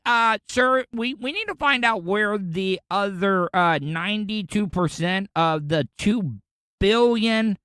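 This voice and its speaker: A sarcastic man from Florida rants through the news on his podcast, rambling and indignant. His voice sits a little high for a man. The sound is dry and close, with no room around it. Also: a bit bright, clear, resonant tone, no room sound. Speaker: podcast host. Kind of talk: casual ranting commentary.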